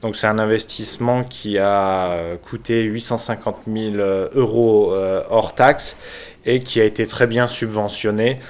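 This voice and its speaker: A man from France who is a local mayor, giving an unscripted explanation in a halting, hesitant manner with frequent pauses. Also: lowish voice, steady tone, clean tone. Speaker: village mayor and local councillor.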